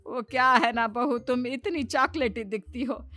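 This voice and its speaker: Woman voicing a high-pitched gossipy cartoon mother-in-law. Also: steady tone, a little slow, pushed and bright, no echo, faint music behind. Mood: regretful. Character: gossipy, complaining middle-aged Indian mother-in-law. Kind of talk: Hindi cartoon dialogue.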